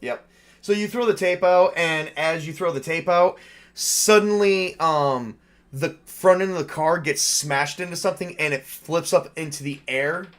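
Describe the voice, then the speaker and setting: A man's voice: fairly high pitch, a little slow. A man narrating a tabletop horror game as game master in a casual, improvised and informal way. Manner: informal, improvising with mild swearing.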